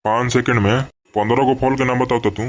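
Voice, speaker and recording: A man's voice: medium pitch, steady tone, fast talker, lightly acted. A man voicing an exasperated, coarse, teasing village schoolteacher with a Bhojpuri accent in a cartoon comedy. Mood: questioning. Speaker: voice actor.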